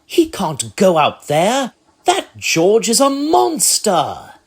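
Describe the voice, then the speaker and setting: A man playing a small, cowardly, easily frightened railway engine in a fan comic dub, with a husky voice. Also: high voice, huge pitch swings, takes it slowly, full character voice. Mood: panicked.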